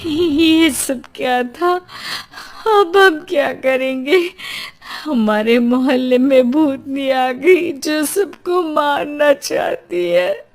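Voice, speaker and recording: Woman acting a slow-paced, bossy, dramatic housewife. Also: high voice, lively intonation, faint music behind, voice pushed up, exaggerated voice. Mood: panicked. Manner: scolding, dramatic.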